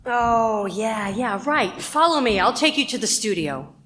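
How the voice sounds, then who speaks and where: A high-voiced, brisk station manager. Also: female voice, lively intonation, a bit bright, slight background noise. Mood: businesslike. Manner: casual, confident, brisk pitching. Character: brisk, persuasive female radio station manager, casually rule-bending. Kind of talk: audio drama dialogue.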